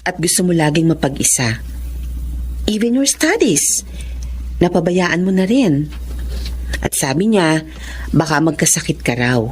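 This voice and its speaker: A woman playing a caring, composed psychiatrist and mother in a Filipino radio drama, measured and reassuring, slipping into English. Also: low voice, very animated, slight background noise. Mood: calm, reassuring.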